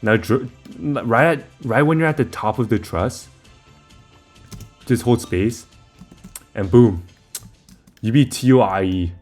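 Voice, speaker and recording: A man's voice: medium pitch, takes it slowly, pauses often, darkish tone, faint music behind. A young man giving a casual, informal game walkthrough, rambling a little as he talks through each step while playing. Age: young adult. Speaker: video maker giving game guide.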